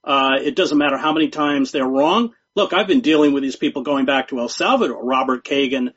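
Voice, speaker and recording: A man speaking as a guest journalist in an interview, talking in a measured, emphatic way and often repeating words. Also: medium pitch, a bit bright, breathy edge. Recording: dull lo-fi sound.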